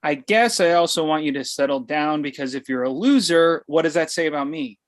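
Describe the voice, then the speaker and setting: A man's voice: on the high side, fairly quick, lightly acted. A man playing a tactless, self-concerned middle-aged father in a comedy script table read.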